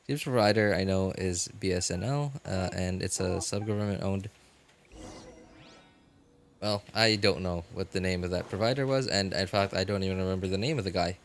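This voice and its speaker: A man hosting a livestream, chatting casually and unscripted with viewers in a halting, rambling way that often repeats phrases. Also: low voice, slight background noise. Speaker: livestream host.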